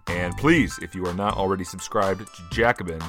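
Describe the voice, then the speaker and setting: A man's voice: a little fast, over music. A man hosting a casual podcast, rambling with many fillers and restarts. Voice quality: deep voice. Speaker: podcast host.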